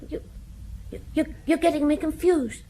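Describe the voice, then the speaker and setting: A woman's voice: high-pitched, steady tone, normal speed, dark tone, slight background noise, natural voice. A woman playing an anxious, evasive village schoolboy in a radio drama, hesitant, naive and defensive. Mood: flustered, frightened.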